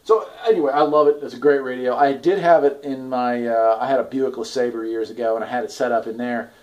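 A man in his forties talking casually and haltingly in an off-the-cuff video review, recorded in a garage on a camera at arm's length. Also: mid-range voice, darkish tone. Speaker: product reviewer, farm owner. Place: garage, indoors.

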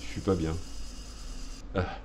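A man's voice: slow, a little mellow, slight background noise. A man hosting a gaming video, rambling through self-mocking, playful commentary as he plays. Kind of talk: joking let's play commentary.

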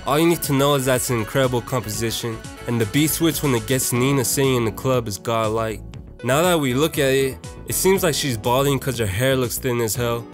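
A man's voice: medium pitch, a little fast. A young man narrating a casual, opinionated video essay in a slangy, profane and irreverent manner. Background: music.

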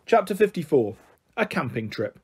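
Male audiobook reader for children, animated and slightly rough-edged. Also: on the high side, normal speed. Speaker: storyteller reading a children's novel. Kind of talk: expressive storybook narration.

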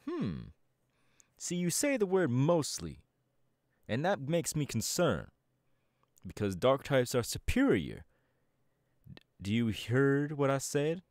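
Man voicing a swaggering young DJ crew boss, very lively pitch, dry close sound, boastful. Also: no room sound, full character voice. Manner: boastful, swaggering, talks to the listener. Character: cocky young male DJ gang boss. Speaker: Team Star leader in a roleplay. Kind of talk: audio roleplay.

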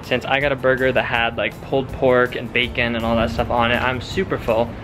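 A man's voice: medium pitch, level tone, brisk. A man in his twenties narrating a casual road trip vlog to a handheld camera. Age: 20s. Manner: easygoing, chatty, matter-of-fact. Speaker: travel vlogger. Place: car and motel room. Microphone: handheld camera at arm's length. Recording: music playing underneath.

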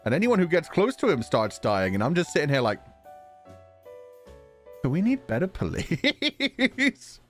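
Wildly animated man streaming a game. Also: a little high, unhurried, long pauses, faint music behind. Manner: playful, theatrical, joking. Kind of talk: game livestream commentary and read-aloud of dialogue.

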